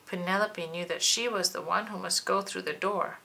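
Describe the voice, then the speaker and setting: A woman's voice: on the low side, fairly even intonation, normal speed, creaky, sharp tone, slight background noise. A woman reading a children's storybook aloud, narrating expressively and dramatically. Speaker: children's book reader.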